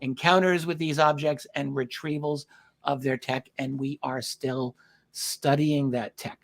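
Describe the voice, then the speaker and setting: A man with a medium-pitched voice hosts an interview in a conversational style. He phrases things thoughtfully and a little hesitantly, often pausing and doubling back on his words. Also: level tone, vocal fry. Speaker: host interviewing a documentary filmmaker.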